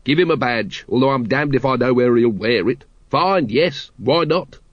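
A man's voice: medium pitch, expressive, normal speed, no echo, dull audio, lightly acted. Man playing a snappish, sardonic watch captain. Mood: exasperated. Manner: gruff, sarcastic, snappish. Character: exasperated, sardonic male captain of the city watch.

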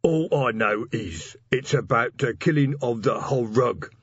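A man voicing a surly troll gangster's messenger who mumbles slowly and sullenly in a storybook. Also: mid-range voice, animated, fairly bright tone, husky, dry recording, lo-fi sound. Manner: slow, sullen, ungrammatical speech. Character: surly male troll gangster messenger, losing his swagger.

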